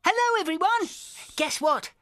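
Cheerful cartoon bus, very high lively voice, upbeat, music behind. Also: female voice, normal speed. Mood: eager. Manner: bright, eager and upbeat. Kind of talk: cartoon voice acting.

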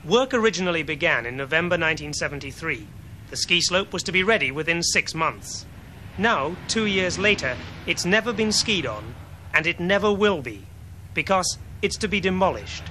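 A man in his thirties with an English accent giving a scripted television report in a wry, measured way with deliberate pauses. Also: fairly high pitch, sharp tone. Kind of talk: scripted investigative report, to camera and voice-over. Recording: 1970s TV film sound, background noise.

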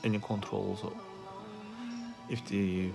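A man giving an unscripted troubleshooting demonstration as a hobbyist repairer, speaking haltingly with frequent pauses and hesitations. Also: deep voice, level tone, gravelly, over music. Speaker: hobbyist repairer asking viewers for help. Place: small indoor workspace at a repair bench.

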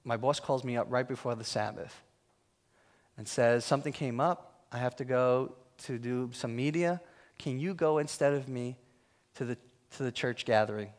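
A man giving a talk in a church hall, telling humorous, self-mocking stories about his work. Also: mid-range voice. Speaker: guest speaker. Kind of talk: storytelling talk.

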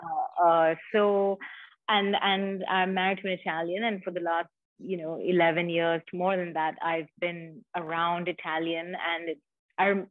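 A woman's voice: lowish voice, flat delivery, unhurried, no room sound. Woman on a tinny remote line. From India. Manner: thoughtful, self-deprecating, repeats words. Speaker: poet and guest. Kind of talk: reflective interview conversation. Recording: dry, tinny phone-like line.

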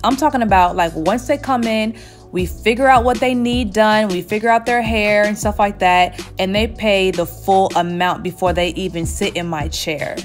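A woman's voice: medium pitch, moderate pace, soft background music. A woman in her thirties, a hairstylist, chatting casually while seated in her small salon, telling a story with lots of laughs. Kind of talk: casual storytime while getting a wig installed. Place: small indoor hair salon. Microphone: camera at chest height, seated facing it.

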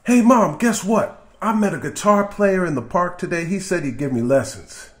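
A man voicing an eager, earnest young boy for a storybook reading, excited and pleading. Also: high voice, normal speed, pitched above the performer's own voice, natural voice. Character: eager young boy learning guitar, pleading with his mom.

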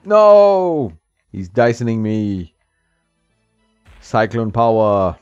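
A man's voice: lowish voice, big pitch swings, drawn-out words, darkish tone, smooth, no room sound. A man in his thirties giving casual, joking commentary while he plays a game at home, laughing often and talking to the screen. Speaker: gameplay streamer. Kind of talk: casual let's-play commentary. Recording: slightly dull, dry sound with game music.

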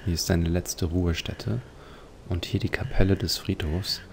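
A man's voice: deep voice, steady tone, normal speed, vocal fry, slight background noise. A man hosting a let's play, giving leisurely, reflective commentary on a video game.

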